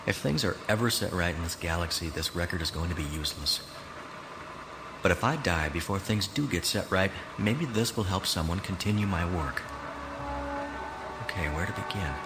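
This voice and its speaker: An actor playing a grim, determined elite soldier in a science fiction audio drama, brooding and measured. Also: male voice, low-pitched, animated, background noise. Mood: grim, reflective. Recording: loud music under the voice.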